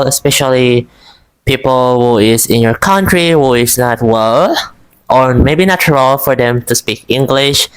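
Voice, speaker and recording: Smooth-voiced Filipino man, encouraging chat. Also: mid-range voice, low-quality recording. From Philippines. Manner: rambling, encouraging, restarts often. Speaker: vlogger. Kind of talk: casual talk to camera about learning English.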